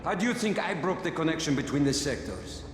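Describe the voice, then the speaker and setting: A man's voice: on the high side, animated, a little fast, lightly acted. A man playing a menacing, smug warlord in a video game, speaking in a grandiose, mocking way. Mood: gloating. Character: menacing, theatrical male warlord, smug and taunting. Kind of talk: video game cutscene acting. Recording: echoey room with loud music.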